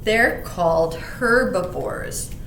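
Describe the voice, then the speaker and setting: Slow-paced woman reading aloud in an echoey room. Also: fairly bright tone. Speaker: library story reader. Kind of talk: read-aloud children's lesson with explanations. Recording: roomy echo, faint rumbling background noise.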